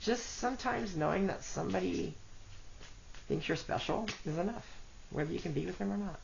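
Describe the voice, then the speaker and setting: A woman with a congested, rough voice gives a chatty tarot reading, talking to her cards and her viewers. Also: fairly low pitch, a little mellow. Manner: chatty, self-deprecating, talks to cards and viewers. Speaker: tarot reader. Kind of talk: casual solo tarot reading. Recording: dull lo-fi audio with faint noise.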